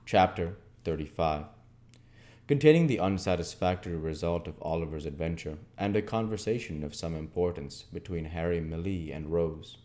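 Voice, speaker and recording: A man with a very low, mellow voice narrates classic literature. His reading is expressive, with measured and dramatic phrasing. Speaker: reader and host of an audiobook channel.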